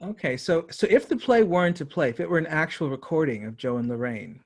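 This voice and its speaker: A man interviewing someone conversationally, laying out probing hypothetical questions in a deliberate way. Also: on the high side, lively intonation, gravelly.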